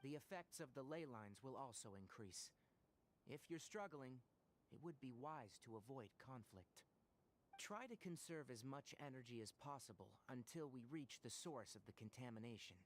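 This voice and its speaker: An actor playing a calm, earnest young forest ranger in a game, speaking in a steady, instructive way. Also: male voice, medium pitch, normal speed, slight background noise, natural voice. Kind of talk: game dialogue.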